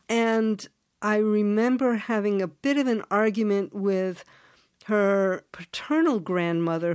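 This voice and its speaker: An older woman interviewing an author, speaking slowly and searching for her words as she asks questions. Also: medium pitch, dry recording, clean studio sound. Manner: slow, warm, searching for words.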